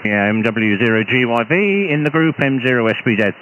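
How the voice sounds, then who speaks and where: A British man, an amateur radio operator, chatting casually over a shortwave radio link in a halting, hesitant way with repeated false starts. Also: medium pitch, expressive, a bit bright. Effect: radio transmission. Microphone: radio transceiver, received over shortwave. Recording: muffled, noisy shortwave ham radio signal.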